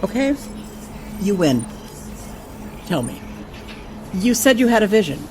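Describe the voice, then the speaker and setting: An actress playing a sceptical, level-headed colleague in a science fiction audio drama, speaking in a measured, dry, challenging way. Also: female voice, medium pitch, big pitch swings, a little mellow, slight background noise.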